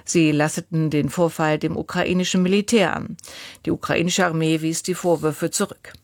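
A woman newsreader reading radio news and the weather in an even, measured studio delivery. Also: low-pitched, dry recording. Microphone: studio mic.

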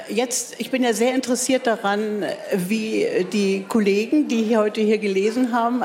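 A woman's voice: mid-range voice, darkish tone. An older woman from East Germany with a Berlin accent, a writer answering interview questions in a laconic, self-mocking way, full of fillers and restarts. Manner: hesitant, many fillers and restarts. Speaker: writer and guest speaker.

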